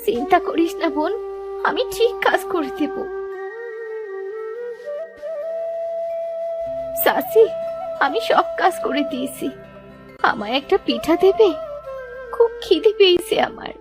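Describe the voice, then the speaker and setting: Very high, plaintive little girl. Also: lively intonation, normal speed, music in the background. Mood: meek, hurt. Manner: plaintive, pleading. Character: sad, meek, mistreated orphan village girl. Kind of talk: melodramatic cartoon voice acting.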